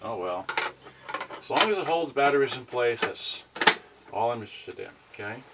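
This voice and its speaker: A man giving casual, halting unboxing commentary, muttering mildly grumbling asides to viewers. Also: mid-range voice, animated. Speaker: unboxing vlogger. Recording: noisy recording with rustling packaging. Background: clicks and crinkling packaging.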